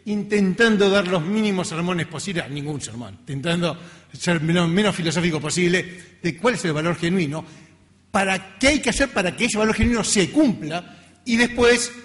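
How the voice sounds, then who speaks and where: A middle-aged man with a Latin American accent giving an informal academic talk in a conversational, self-deprecating way. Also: high-pitched. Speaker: research scientist giving a lecture. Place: lecture hall. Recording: reverberant hall.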